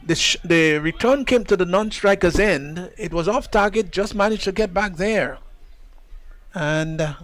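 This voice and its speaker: A man from the Caribbean commentating a live cricket match in a slightly higher-than-average voice. He talks in a chatty, measured way and his voice leaps up when a play gets tense. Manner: chatty, measured, rises sharply on exciting plays. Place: commentary position outdoors at a cricket ground.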